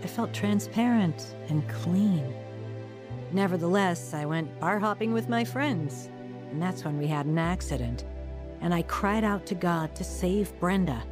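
A woman's voice: lowish voice, expressive, measured pace. An actress playing an earnest woman who recounts her troubled youth in a reflective, confiding audio drama narration. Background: music.

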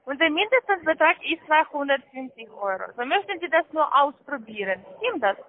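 A woman with a foreign accent giving a sales pitch over the phone, in halting, careful non-native phrasing. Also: sing-song. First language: not German. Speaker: call centre account manager. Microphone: telephone. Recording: muffled narrow-band phone line.

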